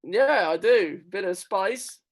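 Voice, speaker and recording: A man's voice: high voice, expressive, takes it slowly. A man judging a comedy battle from home, laid-back and hesitant, with lots of ums. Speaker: judge. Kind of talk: casual chat. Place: home, on a video call.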